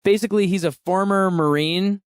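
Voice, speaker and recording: Smooth-voiced man in his thirties. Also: high voice, steady tone, a little fast, no echo. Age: 30s. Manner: candid, laughs often. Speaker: podcast guest, musician. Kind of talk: casual interview chat.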